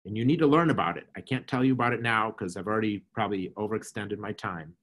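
Middle-aged man, nasal and slightly husky, earnest coaching tone, webcam audio. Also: lowish voice, quick pace, a bit bright. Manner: earnest, coaching, repeats phrases. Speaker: interview guest, marketing consultant. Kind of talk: explaining advice in an online talk. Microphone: video call webcam.

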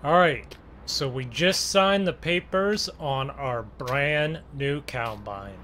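A man giving casual gameplay commentary in a conversational, unhurried way with trailing pauses. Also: medium pitch, sharp tone, slight background noise. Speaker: gaming video host.

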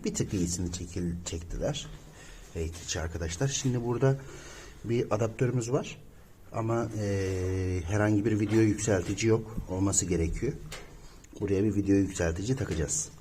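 A man's voice: fairly low pitch, brisk, warm tone. A man working as a repair technician, explaining a job informally to viewers on site in an apartment building hallway. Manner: explanatory, addressing viewers directly. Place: indoors, apartment building hallway by cable shafts. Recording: reverberant stairwell, clear background noise.

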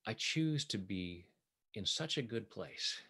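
Deep-voiced man guiding spoken affirmations. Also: expressive, vocal fry, pushed and bright, slightly rough. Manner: measured, deliberate, phrase by phrase. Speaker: tapping coach leading affirmations. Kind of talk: guided affirmations in short phrases for repeating.

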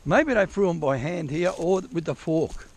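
A man's voice: a little high, lively intonation, normal speed. A man rambles through a walkthrough of old diggings, correcting himself and swearing casually as he goes, recorded outdoors on a head-mounted camera. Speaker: prospecting vlogger. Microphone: head-mounted action camera.

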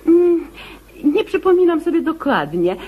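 Lady as a meddling theatrical society wife, radio drama, high voice, animated. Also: phone-quality sound, exaggerated voice. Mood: helpful, scheming. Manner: chatty, theatrical, hesitating with drawn-out fillers. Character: busy, enthusiastic married society lady, meddling. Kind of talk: radio play acting.

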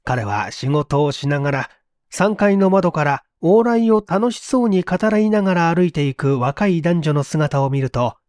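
A man reading a literary mystery story aloud in an expressive, theatrical storytelling manner. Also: a little high, no echo, studio quality. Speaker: reader of audio stories.

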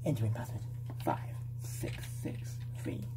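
A teenage boy filming himself at home on a phone, playfully announcing each step of making lunch in a mock-serious way. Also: a little slow. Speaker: vlogger. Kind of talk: playful step-by-step cooking vlog. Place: home kitchen and bedroom, indoors. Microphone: handheld phone camera.

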